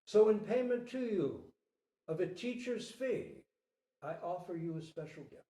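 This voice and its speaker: Guy putting on a regal old-tale king, slow grand phrases, pitched a bit high, roomy. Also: sing-song, mellow, distant mic, full character voice. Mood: humbled, grand. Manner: declamatory, stately, drawn-out. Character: proud human king, astonished, humbled, regal. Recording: roomy, echoing live recording.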